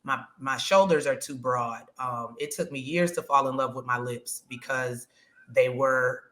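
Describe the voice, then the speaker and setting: A woman with a deep voice, co-hosting a casual podcast chat, speaking frankly and reflectively. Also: steady tone, a little slow, a bit bright. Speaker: podcast co-host.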